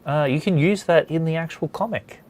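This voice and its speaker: A man's voice: fairly high pitch, brisk, a little mellow. A man hosting a studio session in casual chat, talking in a hesitant, rambling way. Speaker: host of a comics drawing studio session.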